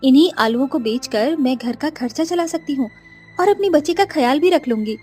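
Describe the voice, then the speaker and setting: Gentle young cartoon widow played by a woman, very high-voiced and soft. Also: expressive, a little fast, soft background music. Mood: hopeful. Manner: soft and pleading. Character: meek young widowed daughter-in-law.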